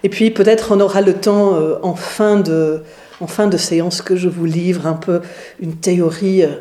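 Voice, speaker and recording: A woman's voice: lowish voice, steady tone, normal speed, warm tone, roomy sound. A middle-aged woman pastor from England with an English accent, giving an informal talk with many hesitations and fillers. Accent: English, with some Swiss. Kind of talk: informal lecture to a group.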